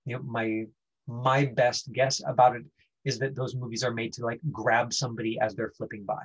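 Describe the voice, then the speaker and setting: A man teaching an online acting class informally, explaining in a halting, conversational way. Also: medium pitch, little pitch movement, husky, dull audio. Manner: halting, explanatory, frequent 'you know'. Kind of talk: informal lesson talk.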